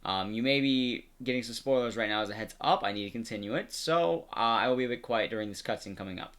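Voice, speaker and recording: A man's voice: mid-range voice, fast, a bit bright. A man giving casual, conversational live stream commentary on a game, explaining things directly to his viewers. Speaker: streamer and horror game speedrunner.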